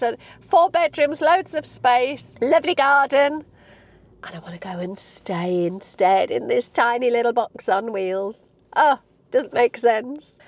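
A woman in her late fifties, a vlogger with a croaky voice from a cold, chatting casually to camera from a parked car with a lapel mic, animated and laughing often. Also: high-pitched, no echo. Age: 50s.

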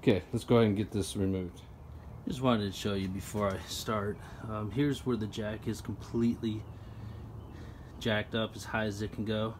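A man casually explaining a tool setup while working with his hands, chatty and relaxed with plenty of ums. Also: lowish voice, moderate pace, a little hiss or hum. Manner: relaxed, chatty, lots of ums and you-knows. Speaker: product reviewer. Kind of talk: casual how-to narration.

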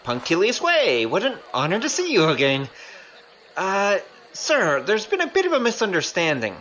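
Actor as lively, roguish gambler hero. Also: male voice, high-pitched, moderate pace, a little hiss or hum, full character voice. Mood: nervous flattery. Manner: animated, wry. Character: roguish, cheeky young gambler hero, man. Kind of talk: audio drama acting.

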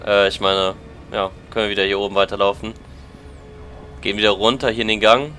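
A man's voice: on the low side, little pitch movement, a little fast, bright, forceful tone, soft background music. A German man with a lingering cold, chatting casually as he plays and comments on a game, thinking aloud. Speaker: let's play commentator.

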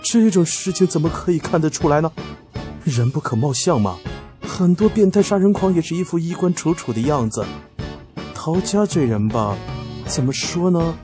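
Man acting a teasing young manager, lively intonation. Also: a little high, normal speed, over music, natural voice. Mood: sly, mock-serious. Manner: teasing, smooth-talking. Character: teasing, sly young male office manager. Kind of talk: audio drama dialogue.

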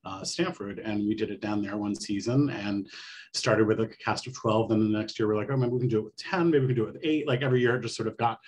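A middle-aged American man from Connecticut with a husky voice, chatting casually as an interview guest on a video call. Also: lowish voice, fast, darkish tone, slight background noise, rough recording. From Connecticut, United States. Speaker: guest playwright.

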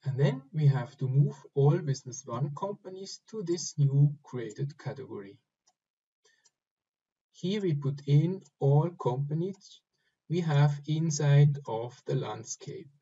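A man giving step-by-step instructions for a software screen recording, speaking in short, halting phrases. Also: on the high side, animated, slightly rough, muffled recording. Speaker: software tutorial presenter. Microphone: voice-over recorded over screen capture.

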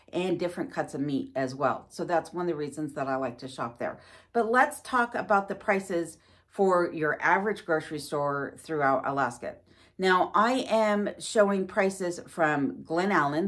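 A middle-aged woman vlogger explaining things to camera in a measured way, stressing key words. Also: low-pitched. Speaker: vlogger, host. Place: wood-panelled room at home, indoors.

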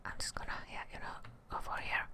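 Whispering young woman, ASMR gamer. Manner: soft, unhurried, murmuring to herself. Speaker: streamer playing a video game. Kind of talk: whispered ASMR gameplay commentary. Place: indoors at a desk. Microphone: close desk microphone with headset on.

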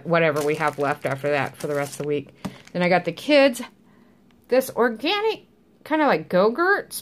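A middle-aged American woman chatting casually and informally, like a home vlogger talking viewers through her shopping. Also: medium pitch. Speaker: vlogger showing a grocery haul. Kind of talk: casual chat to camera. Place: indoors at home, at a table. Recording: clear background noise, crinkling packaging. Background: crinkling bags and handling clicks.

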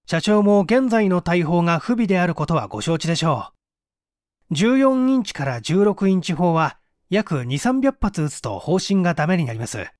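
A man's voice: sits high, big pitch swings, moderate pace, darkish tone, dry recording, clean studio sound. A man playing a bold, earnest researcher who speaks urgently and persuasively in an audiobook mystery. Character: earnest, bold young male researcher-detective.

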